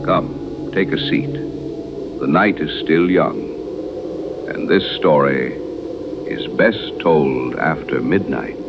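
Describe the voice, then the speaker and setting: An actor playing an ominous old small-town priest, slow and knowing, telling a story in a radio horror drama. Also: male voice, rumbling low, full character voice. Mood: grave ominous. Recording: dull lo-fi radio sound, clear noise.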